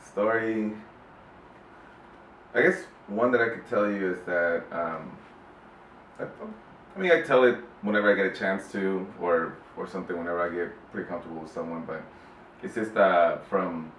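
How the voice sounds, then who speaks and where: A man from El Salvador telling a personal story off the cuff, in a halting, relaxed way with many fillers and restarts. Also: deep voice, slight background noise. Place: Houston interview space. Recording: roomy distant mic with faint ambience.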